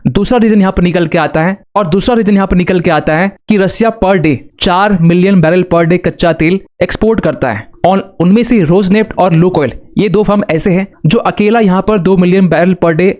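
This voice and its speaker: High-pitched man explaining news. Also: moderate pace, hardly any pauses, darkish tone. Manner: didactic, addresses viewers with rhetorical questions. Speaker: current affairs presenter. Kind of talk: explanatory news commentary with English terms mixed in.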